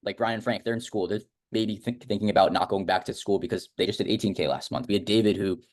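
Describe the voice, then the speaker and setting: A man's voice: fairly low pitch, fast, a little mellow. A young man hosting a casual interview, talking in a rambling, informal way full of filler words. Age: young adult. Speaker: host interviewing a student of a business academy.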